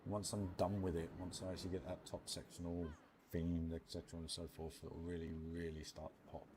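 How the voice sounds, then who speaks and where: A man's voice: deep voice, level tone, fairly quick, noisy background. A British man hosting a casual game live stream, chatting in a chummy way and calling viewers mate and bud. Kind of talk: casual live stream chat over a theme park building game.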